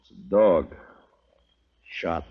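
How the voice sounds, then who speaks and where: Deep, grim Old West marshal. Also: male voice, lively intonation, very slow, slightly rough, exaggerated voice. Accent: American. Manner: terse, grave, authoritative. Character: stern, steady middle-aged US Marshal of the Old West. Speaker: lead actor and narrator of a radio western. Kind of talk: 1950s radio western acting. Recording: noisy, muffled 1950s radio recording with clicks.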